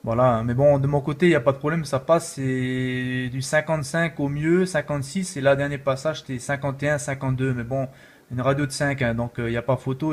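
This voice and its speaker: A man chatting casually as an amateur radio operator, rambling along with repeated filler tags. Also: medium pitch. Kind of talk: casual radio contact chat.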